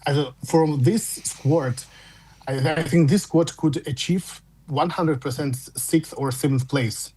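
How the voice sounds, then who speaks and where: A man with a Russian accent, calling in to a fan show, speaking English as a second language in halting phrases with frequent restarts. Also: fairly high pitch, darkish tone, husky, rough recording. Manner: halting, repeats words and restarts while searching for English. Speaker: caller. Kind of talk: phone-in fan chat.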